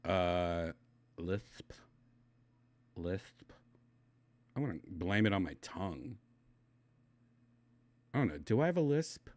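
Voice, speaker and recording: A middle-aged man from Wisconsin with a slight lisp, chatting casually and reading his stream chat, loose and mumbling, trailing off into quiet asides. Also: low voice, animated, slow pace, long pauses, dry recording. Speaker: livestream host reading chat.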